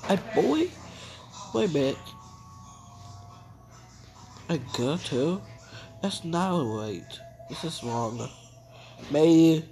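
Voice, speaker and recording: A woman's voice: sits low, sing-song, slow, pauses often, warm tone, faint music behind, rough recording. A woman improvising a cartoon story, rambling playfully and switching into character voices. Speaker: hobby animator narrating and voicing characters. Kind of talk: improvised cartoon play-along narration.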